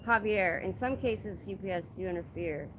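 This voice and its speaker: A woman livestreaming from a city street, chatting casually and reading viewer comments, getting animated and emphatic when indignant. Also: mid-range voice, moderate pace, rough recording. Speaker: livestreaming protester. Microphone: phone held in hand. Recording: phone recording outdoors with street noise. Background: traffic and street noise.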